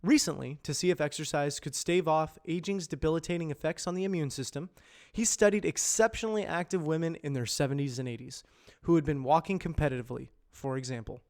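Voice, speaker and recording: A man with a fairly high-pitched voice narrates scripted informational material. He speaks in a measured presenter's manner, enunciating carefully as in an educational voice-over. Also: darkish tone. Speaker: amateur voice actor practising reads.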